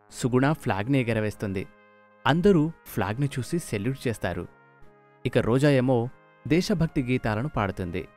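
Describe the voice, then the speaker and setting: A man narrating a scripted moral story in an expressive storytelling manner. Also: medium pitch. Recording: music under the voice.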